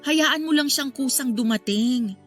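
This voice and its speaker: An actress playing a caring, frank older sister in a radio drama, talking in an earnest, coaxing, conversational way. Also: female voice, high-pitched, unhurried, a bit bright, soft background music. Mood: earnest advising. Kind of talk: Filipino radio drama acting.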